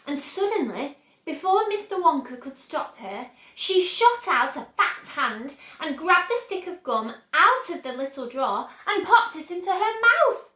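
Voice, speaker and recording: A woman reading a children's book aloud with expressive, dramatic emphasis. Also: high voice, unhurried. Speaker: storyteller. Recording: echoey room.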